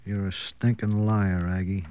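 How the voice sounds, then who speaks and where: An actor playing a boozy, lovesick, washed-up nightclub owner in an old radio crime drama, rambling and maudlin. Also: male voice, low-pitched, level tone, measured pace, warm tone, clear, resonant tone. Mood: bitter, lovesick.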